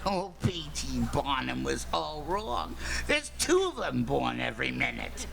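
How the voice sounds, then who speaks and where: A woman's voice: rumbling low, big pitch swings, measured pace, creaky, a bit bright, full character voice. A woman acting a bratty, scheming young boy who talks in cocky old-time gangster slang in a stage radio comedy. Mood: sly, scornful. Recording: live stage, audience noise behind.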